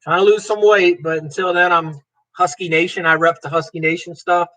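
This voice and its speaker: A man's voice: high-pitched, level tone, brisk, pushed and bright, rough recording. A man hosting a live stream, chatting loosely and joking as he reads viewers' comments aloud and answers them by name. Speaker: livestream host. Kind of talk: casual livestream chat reading comments.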